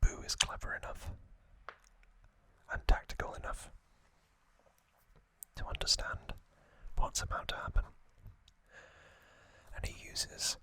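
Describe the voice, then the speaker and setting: Slow, close-up whispering man. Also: pushed and bright, no echo. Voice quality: breathy, unvoiced whisper. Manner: slow, soothing, short deliberate phrases. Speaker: ASMR host. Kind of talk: whispered ASMR trivia narration. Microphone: close microphone.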